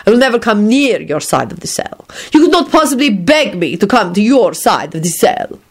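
A woman's voice: mid-range voice, very animated, moderate pace, slightly rough, voice pushed up. A woman voicing a proud, spiteful, resolute man who hisses with loathing, in a narrated story.

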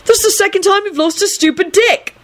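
A woman acts out a melodramatic young man from a storybook, pitched high and swooping wildly. He complains in a whiny, indignant, theatrical way and gets exasperated easily. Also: big pitch swings, normal speed. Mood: angry.

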